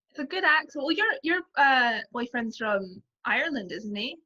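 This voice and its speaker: Young woman, posh Scottish-English accent, bright tone, chatty guest. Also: high-pitched, muffled recording. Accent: mild posh English-Scottish mix. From Scotland, Aberdeenshire. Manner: chatty, frequent "like" and quick laughs. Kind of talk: casual conversation.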